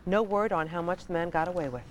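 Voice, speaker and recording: Mid-pitched American news anchor. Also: fast talker, a little hiss or hum. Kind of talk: scripted TV news delivery.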